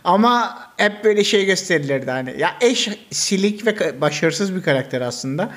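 A man's voice: high voice, lively intonation, a little fast, fairly bright tone. A young man talking casually and colloquially as a podcast co-host. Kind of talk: casual chat.

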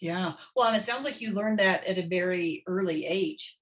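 A woman's voice: on the low side, moderate pace. Chatty, affirming woman podcast host. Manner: chatty, affirming, tells anecdotes. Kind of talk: conversational interview.

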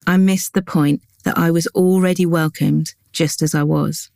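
Calm woman reading a devotional. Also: deep voice, vocal fry, no echo, clean studio sound. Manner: calm, measured, reflective. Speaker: devotional reader. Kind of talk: scripted devotional reading with guided prayer.

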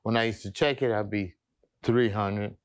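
A man's voice: fairly low pitch, steady tone, moderate pace. A man in his fifties giving an enthusiastic testimonial, often repeating and restarting his words. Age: 50s. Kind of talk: casual testimonial chat.

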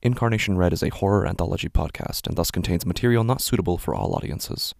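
Male podcast host, scripted announcement, low pitch, vocal fry, dry recording. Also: expressive, fast, a little mellow, dry recording. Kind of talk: scripted promo and disclaimer read.

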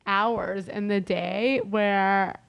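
A woman's voice: mid-range voice, fairly even intonation, drawn-out words, creaky, clear, resonant tone, dull audio, soft background music. A young woman from Los Angeles co-hosting a podcast, chatting in a bubbly way with lots of "like" fillers. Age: young adult. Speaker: podcast co-host. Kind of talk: casual podcast chat.

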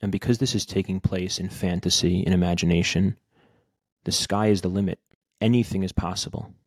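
A man leading a guided meditation in a gentle, unhurried manner, speaking in short phrases with long pauses. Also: sits low, dry recording. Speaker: podcast host guiding a meditation.

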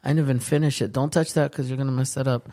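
A lively man ribbing his friends on a podcast, talking in quick bursts of English and Spanish with a middle-pitched voice. He tells stories animatedly and teases the others. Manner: animated, teasing, switches between English and Spanish. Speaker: podcast co-host. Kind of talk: casual chat among friends.

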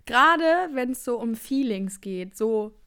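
A young woman co-hosting a podcast, talking casually and lively, with frequent laughs. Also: a little high, clean tone. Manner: lively, laughs often, drawn-out emphasis. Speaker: podcast co-host. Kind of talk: casual chat.

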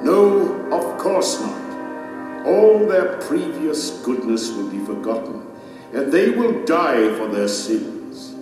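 Majestic divine king, stern and sorrowful, slow sweeping declamation, audio drama, huge reverb. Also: on the high side, very animated. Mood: stern reproach. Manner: solemn, declamatory, deliberate. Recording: cavernous long reverb under dramatic music.

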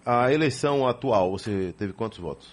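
A man hosting an interview show, chatty and quick with tag questions. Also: mid-range voice. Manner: lively introductions, chatty, tags questions with "né". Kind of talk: radio-style interview hosting.

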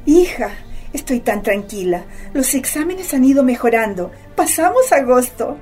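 A woman playing an anxious elderly grandmother putting on a calm front in a radio drama. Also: high voice, measured pace, husky, faint music behind, full character voice. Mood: forced cheerfulness.

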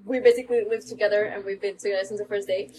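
A woman's voice: medium pitch, steady tone, very fast, heavy background noise. A teenage girl from Spain, an exchange student, telling stories in an upbeat, giggly way. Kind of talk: casual chat.

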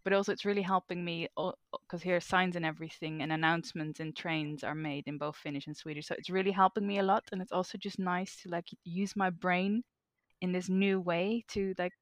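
Woman, native Dutch speaker, podcast co-host, chatty, lowish voice, dry close sound. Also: steady tone, no room sound. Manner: chatty, quick to laugh. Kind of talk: casual podcast chat.

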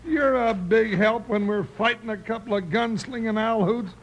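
An actor playing an excitable comic American cowboy sidekick who grumbles in exasperation, in an old radio western. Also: male voice, high voice, normal speed, muffled recording. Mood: exasperated. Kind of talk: 1950s radio western acting. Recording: slightly noisy old-time radio recording.

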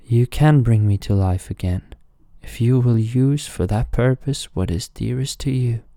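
A man's voice: mid-range voice, a little slow, mellow, dry recording, clean studio sound, voice pushed up, close to an everyday voice. A man voicing a speaking stone statue in a fairy tale, with a grave, solemn manner.

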